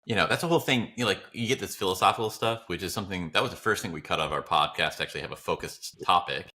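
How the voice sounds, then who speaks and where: A man's voice: sits low, rapid-fire, pushed and bright. A man co-hosting a podcast, chatting casually and philosophically in a blunt, rambling, opinionated way. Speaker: podcast co-host.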